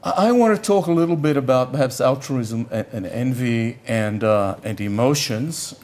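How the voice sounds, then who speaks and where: Halting older male professor lecturing. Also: medium pitch, animated, a little mellow. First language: Yiddish. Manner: halting, searches for words, repeats "I, I". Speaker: economics professor giving a lecture. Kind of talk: conference lecture.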